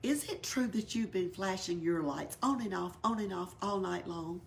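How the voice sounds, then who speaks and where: A woman's voice: on the low side, moderate pace, gravelly, a little hiss or hum. Woman as a patient, weary elephant village boss. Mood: polite, official. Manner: patient, authoritative.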